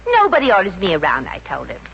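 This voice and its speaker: A woman's voice: medium pitch, huge pitch swings, quick pace, creaky, a little hiss or hum. Wisecracking working girl, muffled old radio. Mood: excited bluffing. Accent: American. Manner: sardonic, breezy wisecracking. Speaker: lead actress in radio comedy. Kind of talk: 1950s American radio comedy acting.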